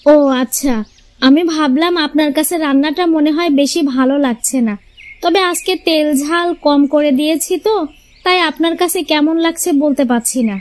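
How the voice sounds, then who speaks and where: A woman voicing a sharp-tongued, bossy young housewife in a cartoon tale, pointed and expressive. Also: sits high, normal speed, lightly acted. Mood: mock sweet. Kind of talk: Bengali cartoon fairy-tale voice acting.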